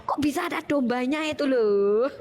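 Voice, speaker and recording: A woman's voice: high-pitched, moderate pace, a little mellow, a little hiss or hum. Playful Medan-accented woman reading mockingly. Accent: Medan Indonesian. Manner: playful, mocking, laughs at her own reading. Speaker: host. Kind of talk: online discussion, reading and commenting.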